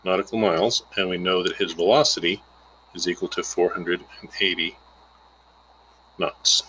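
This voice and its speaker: A man's voice: low-pitched, fairly even intonation, moderate pace, bright, forceful tone, a little hiss or hum, rough recording. A man giving an informal, plainspoken lesson, explaining a worked example step by step and thinking aloud as he calculates. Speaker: instructor.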